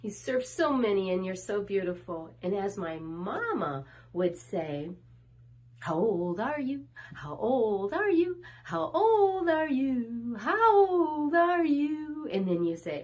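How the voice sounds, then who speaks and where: A woman's voice: on the high side, a little mellow. A woman singing and exclaiming an exuberant, playful birthday message. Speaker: well-wisher.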